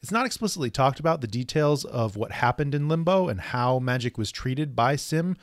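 A man's voice: medium pitch, lively intonation, moderate pace, no echo. Male podcast co-host, casual comic recap, narrates panels, dry close sound. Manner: narrates the panels and reads dialogue aloud.

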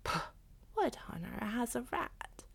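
A woman's voice: a little high, sing-song, takes it slowly, creaky, fairly bright tone, husky, pitched above the performer's own voice, full character voice. A woman voicing a sly, haughty female cat in a children's story, with a purring, scornful, commanding manner. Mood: scornful, testing. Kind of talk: children's animal storybook character.

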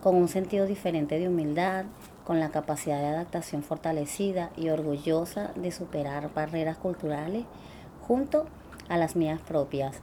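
A young Venezuelan woman who speaks Spanish natively, reading her own life story in a measured, deliberate way. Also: low-pitched, dark tone, slight background noise. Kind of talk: reading a personal memoir.

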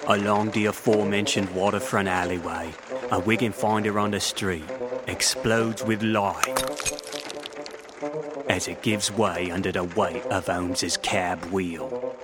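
A man's voice: low voice, fairly even intonation, measured pace. A man playing a wry, florid narrator of a Victorian mystery audio drama, in a theatrical, wordy manner. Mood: dramatic, wry. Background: loud music.

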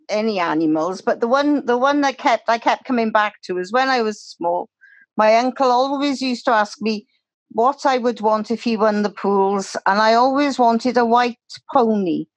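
An older woman, a retired library volunteer, speaking gently as a podcast guest. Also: a little high, no echo.

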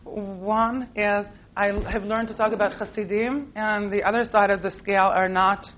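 A woman's voice: medium pitch, fairly even intonation, normal speed, dull audio, a little hiss or hum. A woman with an American accent, who grew up in Israel, teaching a class in a chatty, playful way with lots of fillers and laughs. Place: classroom with a live group.

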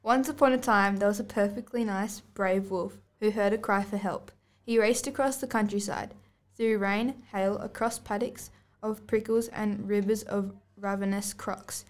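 A woman's voice: medium pitch, steady tone, moderate pace. A girl of about eleven or twelve reading scripted storybook narration aloud as a student podcast host. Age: child, about 11 to 12.

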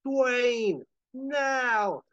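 A plucky cartoonish duck hero, played by a male game master, pitched well above a normal man's voice. He is loud and defiant, throwing out bold one-liners in a tabletop adventure. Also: measured pace, no echo, muffled recording. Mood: triumphant shouting. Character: plucky heroic talking duck, defiant band manager.

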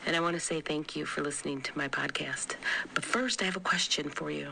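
A woman with a raspy voice hosting her own podcast, talking in a soft, sultry, breathy way. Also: sits low, fairly quick. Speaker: podcast host in a played clip. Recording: noisy played-back clip.

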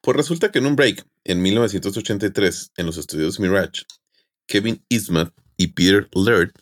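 A man's voice: lowish voice, normal speed, dry recording. A man with a Mexican accent, a podcast host telling stories to friends in a jokey, colloquial way. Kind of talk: casual podcast storytelling with friends.

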